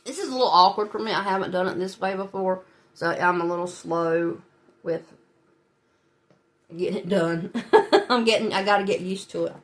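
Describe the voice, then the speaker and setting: A woman's voice: lowish voice, moderate pace. A woman with a stuffy, head-cold nose, chatting to viewers as she works through her savings challenges. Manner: chatty, talks to viewers while working, small laughs. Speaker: budgeting channel host. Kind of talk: casual talk while filling savings challenges.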